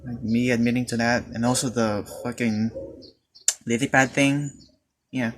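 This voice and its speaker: A teenage Filipino man telling a personal story in a hesitant, halting way, with many fillers and restarts. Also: medium pitch, steady tone, slight background noise. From Philippines. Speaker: student. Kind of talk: personal confession monologue.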